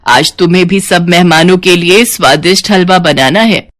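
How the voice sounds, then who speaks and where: A woman's voice: on the low side, moderate pace, a bit bright, lightly acted. A woman voicing an older mother-in-law in a storybook tale, an approving matriarch giving instructions. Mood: expectant, approving.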